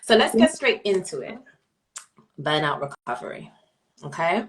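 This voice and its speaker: Low-voiced woman hosting a talk. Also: very animated, moderate pace, poor audio. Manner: thoughtful, reflective, warm affirmations. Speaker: host. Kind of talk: hosted conversation. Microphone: video call.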